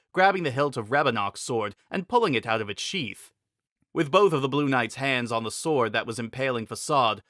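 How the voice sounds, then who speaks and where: A man's voice: mid-range voice, sharp tone, dry recording, clean studio sound. A man narrating a scripted fantasy adventure story as a dramatic reading.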